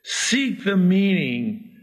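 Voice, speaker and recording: Middle-aged preacher guy, arabic his first language, husky voice, takes his time. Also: high voice, drawn-out words. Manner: animated, jumping from scripture reading to asides. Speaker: pastor. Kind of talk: expository sermon.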